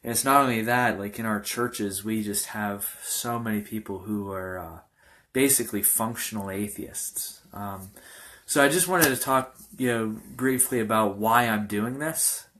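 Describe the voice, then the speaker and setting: Young male pastor, halting earnest talk. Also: on the low side, a bit bright, slight background noise. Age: young adult. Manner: halting, many fillers and restarts; earnest, informal. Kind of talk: personal testimony talk.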